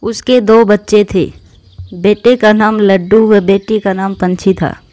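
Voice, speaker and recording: A woman narrates a children's cartoon tale. Her voice is mid-pitched and mellow, with a close, dry sound and a scripted storytelling delivery. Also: fairly even intonation, slow, dry recording.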